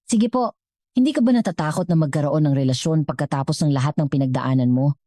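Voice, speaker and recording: A woman with a Filipino accent voicing a humble, gentle mother, polite and heartfelt in storybook dialogue. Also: low voice, fast talker, no echo, clean studio sound. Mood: thoughtful. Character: humble, gentle poor mother, wise about love.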